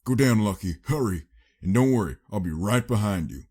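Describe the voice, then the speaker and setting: A brave but fearful stallion played by a man speaks in a low, slightly rough voice. He is protective and urgent, guiding his companion in a wasteland audio story. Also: expressive, a little fast. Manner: hushed, urgent.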